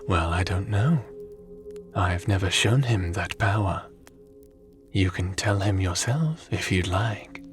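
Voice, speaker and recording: Man playing a slow, deep, formal spirit being. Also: expressive, over music. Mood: pensive. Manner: measured, formal, pensive phrasing. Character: calm, curious, formal god-like spirit with secret powers. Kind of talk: ASMR audio roleplay acting.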